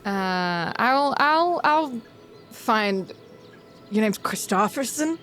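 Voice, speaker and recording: A woman's voice: on the high side, expressive, drawn-out words, a bit bright, soft background music. A woman playing a tabletop game, talking in a casual, chatty way.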